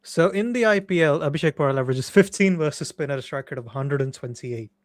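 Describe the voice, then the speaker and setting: A man's voice: on the high side, animated, quick pace, no room sound. A man co-hosting a live cricket watchalong, chipping in with dry remarks and statistics. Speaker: co-host analyst. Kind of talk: casual cricket chat with stats.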